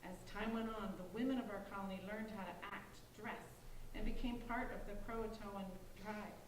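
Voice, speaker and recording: A woman's voice: medium pitch, fairly even intonation, moderate pace, lightly acted. A bitter, vengeful ghost girl from colonial times played by a woman speaks in an accusing, storytelling manner. It is a stage drama, caught from afar in a heavily echoing, noisy room. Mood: bitter, sorrowful. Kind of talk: staged play reading. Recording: very echoey room, distant mic, clear noise.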